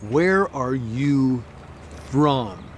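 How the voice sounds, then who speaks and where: A clueless man, played by an actor in a comedy sketch, who pushes a stranger about where they are really from. His voice swings lively up and down as he stumbles through his questions with awkward uhs, and faint outdoor sounds come through behind him. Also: mid-range voice, drawn-out words, a little hiss or hum.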